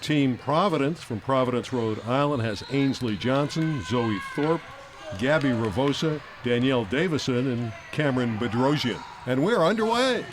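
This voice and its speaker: A man's voice: mid-range voice, expressive, moderate pace, noisy background. A man calling a youth basketball game as the play-by-play announcer, upbeat and hyping the action. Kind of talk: sports commentary.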